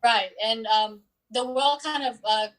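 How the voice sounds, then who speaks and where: A woman in her twenties, born in Bosnia and Herzegovina, answering interview questions in an unhurried way with many pauses and fillers. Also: on the high side, a bit bright, low-quality recording. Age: 20s. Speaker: guest, student athlete.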